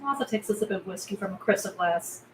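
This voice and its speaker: A woman's voice: mid-range voice, expressive, fast talker. A woman narrator reading screenplay stage directions aloud at a table read. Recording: faint background noise.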